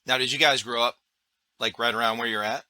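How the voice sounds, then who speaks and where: A man hosts a relaxed podcast conversation, easygoing and agreeable. His voice is bright and crisp-edged, recorded close and dry without room sound. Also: mid-range voice, fairly quick, no echo. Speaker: podcast host. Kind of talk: casual interview.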